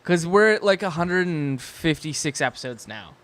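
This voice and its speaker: Man, young adult, podcast co-host, fairly high pitch, casual chat, frequent swearing. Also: animated, normal speed, fairly bright tone. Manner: swears a lot, rants in bursts.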